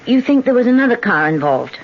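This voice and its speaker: An actress playing a nervous, guilty wife who speaks hesitantly with many pauses, in a 1970s radio drama. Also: female voice, on the high side, big pitch swings, a little hiss or hum. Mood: uneasy. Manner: hesitant, halting, with many uhs. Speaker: politician, the lieutenant's wife. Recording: muffled vintage radio broadcast with music.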